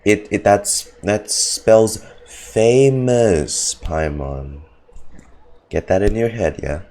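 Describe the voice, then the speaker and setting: A man giving casual live commentary on a game as a streamer, halting and wry. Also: lowish voice, darkish tone. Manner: halting, wry, self-joking.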